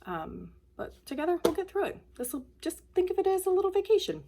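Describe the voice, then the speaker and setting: A woman's voice: very high-pitched, big pitch swings, fast, dark tone, slight background noise. A woman speaking casually and simply to children, in the manner of a school nurse at story time. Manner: plain, simple, child-directed phrasing. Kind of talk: casual talk to children and read-aloud storytelling.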